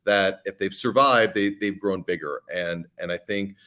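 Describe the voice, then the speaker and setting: A man's voice: sits low, moderate pace, sharp tone. A middle-aged man who creates fiction podcasts, talking earnestly as an interview guest and often restarting his sentences. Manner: earnest, with frequent restarts and repeated words. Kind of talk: conversational interview answers.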